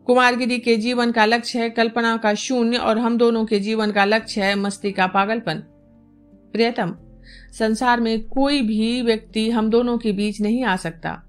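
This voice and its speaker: A woman's voice: on the high side, steady tone, moderate pace, soft background music, close to an everyday voice. A woman voicing a bold, passionate female court dancer with a confident, declamatory manner. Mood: reassuring.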